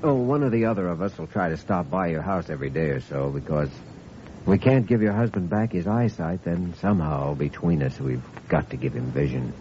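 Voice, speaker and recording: An actor playing an earnest, caring young doctor in an old-time radio drama, speaking in a measured, thoughtful way. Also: male voice, sits low, lively intonation, dark tone. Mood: gentle, resolute. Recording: muffled, hissy vintage radio recording.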